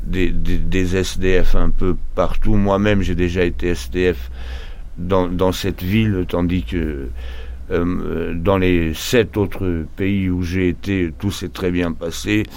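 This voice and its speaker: A man's voice: low-pitched, a little mellow. A man who runs a laundromat, chatting casually in an interview, often stumbling and repeating words. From Portugal. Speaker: laundromat owner.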